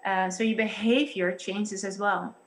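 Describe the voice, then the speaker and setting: A woman in her thirties with a Dutch accent, a pastor and psychologist explaining things carefully and haltingly over a video call. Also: mid-range voice, steady tone. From Netherlands. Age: 30s.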